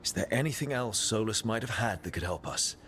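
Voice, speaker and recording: A resolute hero leading a party in a fantasy game. His voice is fairly low, firm and plain-spoken, with faint ambient noise behind it. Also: expressive, brisk, fairly bright tone. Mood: earnest.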